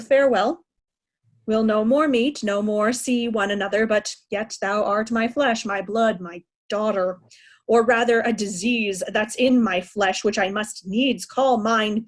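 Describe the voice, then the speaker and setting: A woman playing a proud aging king, raging at ungrateful daughters in a declamatory Shakespearean style. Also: mid-range voice. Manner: declamatory, deliberate, anguished. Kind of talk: Shakespearean reader's theatre acting.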